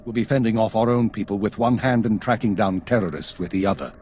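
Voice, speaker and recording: An actor playing a stern, commanding intelligence chief in a sci-fi game, speaking with grave, clipped authority. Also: male voice, fairly low pitch, fast talker, a little mellow, slight background noise, natural voice. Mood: grim, weary. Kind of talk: video game voice acting.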